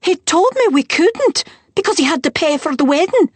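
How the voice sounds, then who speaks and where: A woman voicing a scowling, haughty stepmother who speaks in sharp, accusing tones. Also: extremely high pitch, sing-song, normal speed, no room sound, pitched above the performer's own voice. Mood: defensive.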